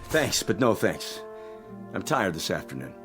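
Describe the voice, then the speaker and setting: Radio drama support: cagey, aloof co-pilot, terse lines with swinging intonation, music clearly behind. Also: male voice, low-pitched, big pitch swings, measured pace. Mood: evasive, testy. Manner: terse, deflecting. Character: evasive, aloof male spaceship co-pilot, guarded and touchy.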